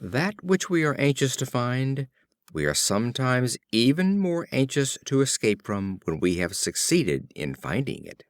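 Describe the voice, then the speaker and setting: A man reading fables as a scripted audiobook narration, in a measured storybook manner. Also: mid-range voice, big pitch swings, dry recording, studio quality. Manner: measured, storybook reading with acted character lines.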